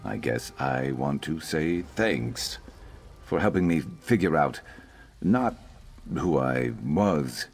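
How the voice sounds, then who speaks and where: An actor playing a gruff, vengeful lizard-man companion in a video game, wry but earnest, speaking in a measured, brooding way. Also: rumbling low, lively intonation, soft background music, exaggerated voice. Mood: earnest, grateful. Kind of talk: video game character dialogue.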